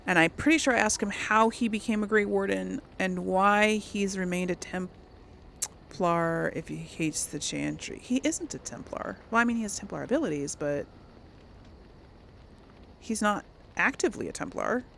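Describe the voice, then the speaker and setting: A woman hosting a casual role-play gaming video, musing aloud haltingly and often clearing her throat. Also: mid-range voice, fairly bright tone, slight background noise.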